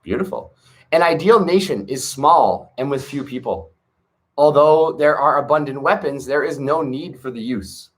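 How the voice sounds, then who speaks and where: A man reading a classic text aloud on his front porch, earnest and gentle, with spiritual asides. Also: mid-range voice, lively intonation, normal speed.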